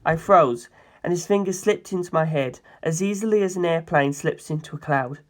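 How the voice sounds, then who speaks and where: A man's voice: a little high, moderate pace. A man narrating a dramatic story in the first person as an audiobook reader.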